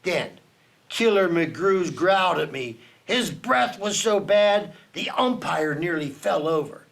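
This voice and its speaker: A man reads a children's storybook aloud at an unhurried pace, his fairly high voice swinging widely as he builds suspense. He leans into dramatic pauses like a storyteller for young listeners. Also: animated, vocal fry, fairly bright tone. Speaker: children's book reader for a team reading program. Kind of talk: reading a children's picture book aloud.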